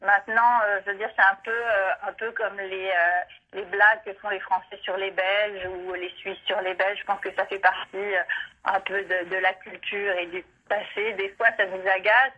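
Woman, 40s, from France, husky, hesitant, muffled phone line. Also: mid-range voice, level tone, normal speed. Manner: hesitant, many fillers. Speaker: guest, cookbook author. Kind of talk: phone interview. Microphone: telephone.

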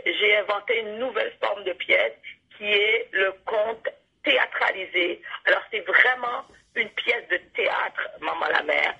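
A woman's voice: a little high, vocal fry, sharp tone, husky. Woman with Canadian French accent, muffled radio sound. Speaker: interview guest, playwright and storyteller. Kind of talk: reflective interview talk.

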